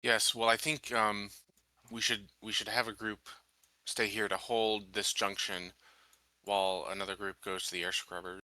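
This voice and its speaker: Very bright, sharp low-pitched man. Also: flat delivery, normal speed, dry recording. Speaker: tabletop game player. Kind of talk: casual game chat.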